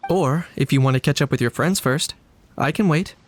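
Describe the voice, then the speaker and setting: A man voicing a composed, polite knight investigator in a game, measured and analytical with a dry streak. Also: fairly high pitch, a little hiss or hum, close to an everyday voice. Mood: serious, analytical. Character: composed, courteous male knight investigator, dryly cheeky. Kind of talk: English game dub acting.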